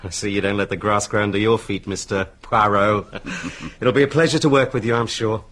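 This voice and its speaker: An actor playing a brisk, self-important police inspector in a radio drama, with an English accent and clipped, businesslike delivery. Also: male voice, lowish voice, fairly even intonation, fairly bright tone, lightly acted. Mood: warming, brisk.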